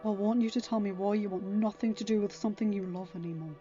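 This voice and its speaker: Woman voicing a gentle, mellow young boyfriend. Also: medium pitch, steady tone, fast talker, natural voice. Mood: gentle pleading. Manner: soft, gentle. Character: gentle, concerned young man, tender boyfriend. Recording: dull lo-fi audio. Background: faint music.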